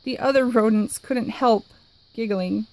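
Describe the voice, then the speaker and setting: A woman reading a children's picture book aloud in an expressive, lively way. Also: fairly high pitch, dry recording, rough recording. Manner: expressive, lively, dramatic pauses. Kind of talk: storybook read-aloud.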